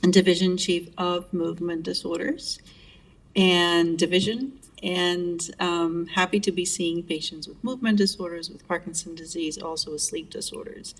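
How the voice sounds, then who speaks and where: A woman's voice: fairly low pitch, far from the mic, poor audio. A middle-aged woman, a neurologist answering interview questions in a friendly way with many fillers. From Greece. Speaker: neurologist guest.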